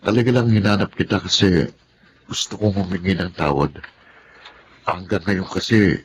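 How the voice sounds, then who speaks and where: Casting: man to play modest, deferential Filipino husband in TV drama, lowish pitch, halting delivery, slight ambient noise. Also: natural voice. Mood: remorseful, hesitant. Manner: hesitant, deferential. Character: humble, hardworking husband, polite and remorseful.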